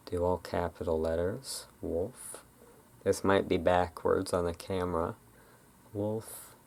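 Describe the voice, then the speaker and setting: ASMR host, deep pitch with heavy fry; casual, halting roleplay chatter. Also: fairly even intonation, a little mellow. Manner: rambling, halting, informal.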